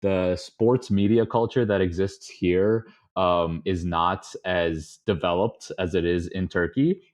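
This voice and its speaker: A young man from the Chicago suburbs, chatting as a podcast guest in a relaxed, rambling way with lots of fillers. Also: deep voice, slow. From suburbs of Chicago, USA. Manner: relaxed, rambling, many fillers, chuckles often. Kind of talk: casual interview conversation. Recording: slightly dull lo-fi audio.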